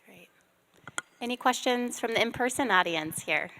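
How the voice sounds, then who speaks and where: Woman, event moderator, high bright voice, brisk and organizing. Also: expressive. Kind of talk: live event hosting. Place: conference room with in-person and online audience.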